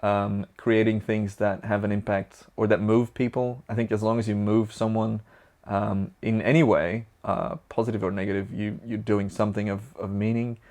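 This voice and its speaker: A man's voice: lowish voice, level tone, normal speed, darkish tone. A young man from Belgium with a Flemish accent, a native Dutch speaker, answering viewer questions in a chatty, upbeat and rambling way. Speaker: vlogger.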